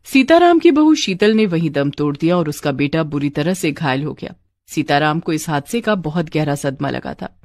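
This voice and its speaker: A woman narrator tells a cartoon folk story with a very animated, swinging delivery. Her voice sits slightly low, and the recording is close and dry. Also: dry recording.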